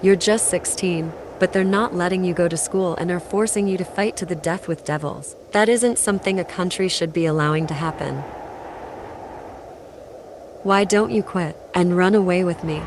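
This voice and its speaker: A woman voicing a young female devil who coaxes sweetly and threatens calmly in a manga fan dub. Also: lowish voice, moderate pace, close to an everyday voice. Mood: gentle coaxing. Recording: noisy track with street ambience.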